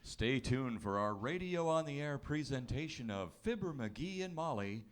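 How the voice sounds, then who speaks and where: A man's voice: medium pitch, animated, moderate pace, smooth. A man reading a scripted announcement in the style of an old-time radio show. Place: live theatre stage.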